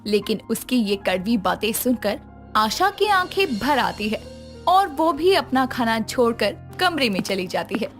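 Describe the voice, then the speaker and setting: A woman narrating a scripted animated moral story as its storyteller. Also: fairly high pitch, measured pace, faint music behind.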